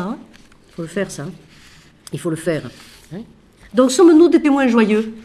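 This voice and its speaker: Expressive French-speaking nun with a cold. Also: female voice, on the high side. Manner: expressive, shifting from loud emphasis to quiet asides; asks rhetorical questions. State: has a cold. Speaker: Franciscan sister and author. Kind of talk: animated talk about her book.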